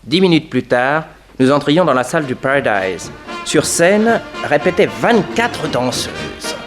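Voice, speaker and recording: Young sidekick narrator, animated melody, medium pitch, 1960s French radio drama, faint music. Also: male voice, a little slow. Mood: narrating. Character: young male sidekick narrating his detective uncle's case.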